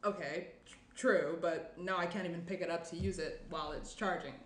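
A woman's voice: fairly low pitch, fairly quick, natural voice. A young woman playing a guarded, sarcastic woman on an awkward morning-after, dry and brusque, in a naturalistic table read. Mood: dry, challenging. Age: young adult. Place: indoor room, seated at round table. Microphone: shared desk microphone on table. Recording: roomy echo, clear background noise.

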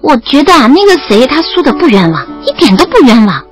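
A young woman acting as a lively student in love in an audio drama, telling her story and playing the dialogue. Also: high voice, a little fast. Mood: animated, teasing. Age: young adult. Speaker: narrator and lead actress. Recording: slightly dull audio over background music. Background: music.

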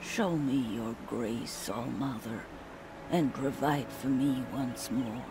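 A woman's voice: rumbling low, slow, noisy background, exaggerated voice. An actress playing a devout, frail old outcast woman in a video game, praying aloud in solemn, archaic phrasing. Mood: pleading, devout. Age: older. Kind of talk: video game character acting. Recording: music behind.

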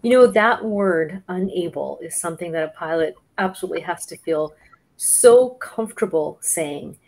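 A woman's voice: fairly low pitch, animated, moderate pace, poor audio. A middle-aged woman on a video call, explaining and advising conversationally as a panelist. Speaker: panelist giving advice. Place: home office, on a video call.

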